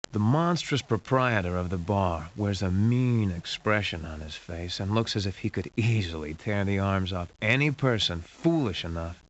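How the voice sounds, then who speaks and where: A man playing a wry hard-boiled detective who narrates a sci-fi adventure game in a dry, dramatic, scripted noir style. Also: fairly low pitch. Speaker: game narrator and lead character. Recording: muffled lo-fi game audio with hiss.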